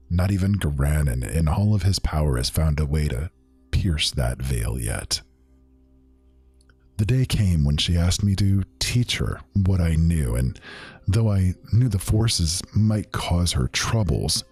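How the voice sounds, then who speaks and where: Man playing a very deep, husky old mage. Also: darkish tone, no room sound, heavily acted. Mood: wistful, then fond, solemn. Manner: archaic, formal, measured storytelling. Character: wistful old male mage recounting his past. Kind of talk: fantasy audio drama monologue.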